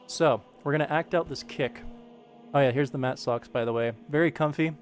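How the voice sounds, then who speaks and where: A man's voice: on the high side, fairly quick, soft background music. A man hosting a casual animation work stream, talking informally to viewers as he narrates and explains what he is doing. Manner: informal, addresses viewers, narrates actions with sound-effect words. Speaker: animator and livestream host.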